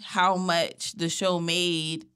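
A woman co-hosting a podcast in casual chat, speaking in a natural monotone, blunt and profane as she lays out a story at length. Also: on the low side, extremely slow, smooth. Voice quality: self-described natural monotone. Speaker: podcast co-host.

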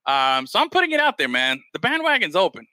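Casual male podcast host, very bright and forward tone, dry close sound, rambling delivery. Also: high-pitched, huge pitch swings, fast, dry recording, rough recording. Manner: loose, rambling, heavy on "man" and "bro". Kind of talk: casual chat.

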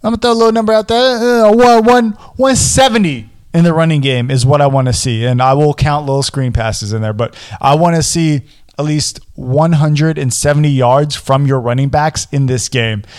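A young man with a hoarse voice hosting a solo football podcast, giving casual but animated game analysis and often repeating words as he talks. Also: a little high, normal speed. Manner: animated, repeats words, pumps up with singsong callouts. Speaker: sports podcast host.

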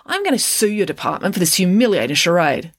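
A reader doing an arrogant, blustering businessman in a storybook. He snaps and scoffs with a pitch that jumps high in outrage, and the voice carries a slight rasp. The recording is dry and close. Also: very animated, quick pace, fairly bright tone, no echo, exaggerated voice. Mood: indignant. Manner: indignant, dismissive. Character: haughty, blustering tall businessman.

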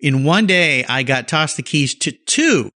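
Lively, bright-voiced male host. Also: on the high side, a little slow. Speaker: podcast host. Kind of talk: interview host chat.

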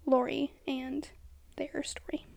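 A woman's voice: high voice, little pitch movement, slow pace, gravelly, darkish tone. A woman co-hosting a casual true crime podcast, giggly and youthful-sounding. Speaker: podcast co-host.

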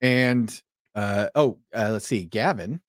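A man hosting a casual podcast who asks questions and teases his guests in a lively way. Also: lowish voice, slow, no echo, clean studio sound. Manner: lively, leads questions, teasing. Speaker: podcast host.

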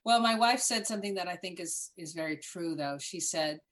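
A woman guest in casual conversation, telling an emphatic, animated story. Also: on the low side, fairly quick.

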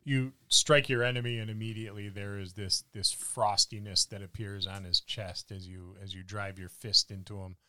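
A man narrating a tabletop fantasy game as game master, describing the action vividly and haltingly. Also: on the low side, steady tone, bright, forceful tone. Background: background music.

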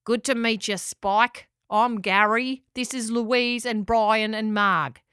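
A woman's voice: on the high side, steady tone, takes it slowly, fairly bright tone, clear, resonant tone, dry recording, clean studio sound. A woman voicing a blunt, hearty male pelican who leads his flock in a children's storybook.

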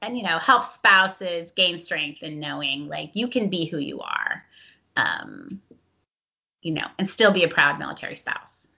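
A woman's voice: deep voice, wildly swooping pitch, measured pace, creaky, bright, forceful tone. A middle-aged woman talking reflectively in a casual conversation, with frequent "um" and "you know". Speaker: guest, veteran spouse advocate. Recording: dull lo-fi sound.

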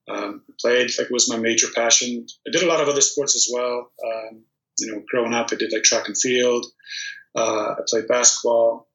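A man in his thirties, originally from Poland, talking casually as an interview guest with plenty of ums and restarts. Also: medium pitch. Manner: relaxed storytelling with many ums and restarts. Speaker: guest, volleyball player. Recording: dull, echoey remote recording.

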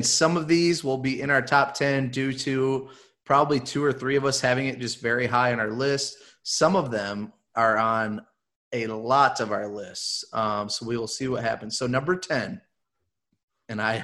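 A man's voice: mid-range voice, unhurried, fairly bright tone. A man from Michigan hosting a casual group podcast, upbeat and rambling with lots of fillers. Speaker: podcast host.

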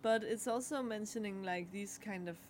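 A young adult woman whose first language is Danish, co-hosting a podcast and giving a relaxed card reading in a halting way, with many fillers and pauses. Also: medium pitch, slight background noise. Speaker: podcast co-host. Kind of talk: casual chat, card reading.

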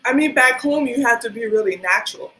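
A woman's voice: fairly high pitch, lively intonation, quick pace, bright, forceful tone, a little hiss or hum, low-quality recording. Hesitant woman guest, from Liberia. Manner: hesitant, many ums and likes. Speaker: guest, hair stylist. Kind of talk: casual interview.

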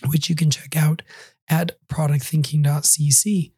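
Hoarse man hosting a solo podcast. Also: on the high side, flat delivery, a little slow, dark tone, dry recording, clean studio sound. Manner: conversational with wry asides. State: hoarse, recovering from a cold and flu. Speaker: podcast host.

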